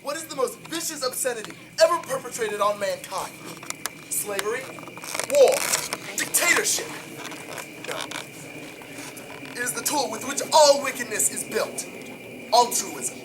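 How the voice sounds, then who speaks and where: Man acting as grandiose game tycoon, contemptuous, defiant, emphatic orator, deliberate phrasing, clearly noisy recording. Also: very high-pitched, lively intonation. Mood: grave, probing. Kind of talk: dramatic video game monologue.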